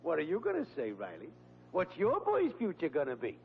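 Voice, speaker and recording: A man's voice: a little high, wildly swooping pitch, a little fast, gravelly, full character voice. An actor playing a smug, boastful middle-aged American neighbor and proud father, gloating and needling in a 1940s radio comedy. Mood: mocking, needling. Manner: gloating, mocking. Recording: muffled old-time radio recording with hum.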